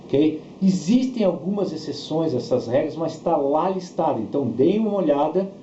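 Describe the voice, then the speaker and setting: A man's voice: a little high, lively intonation, moderate pace, mellow. A Brazilian man giving an explanatory language lesson as a teacher, repeating key words and often checking that learners follow. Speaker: English teacher. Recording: echoey room, dull sound, background noise.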